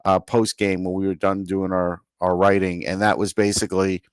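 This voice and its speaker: Low-pitched man talking sports, casual and emphatic. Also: flat delivery, moderate pace, clean tone, no room sound, clean studio sound. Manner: conversational, emphatic, opinionated. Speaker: sports podcast co-host. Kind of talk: casual hockey analysis chat.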